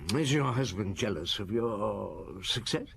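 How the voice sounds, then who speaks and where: Low-voiced, probing police inspector. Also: male voice, expressive, creaky, fairly bright tone, slightly rough, full character voice. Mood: dry, probing. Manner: measured, probing, hesitant pauses and murmurs. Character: shrewd, weary middle-aged male French police chief inspector. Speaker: detective and narrator. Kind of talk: radio drama acting with reflective narration.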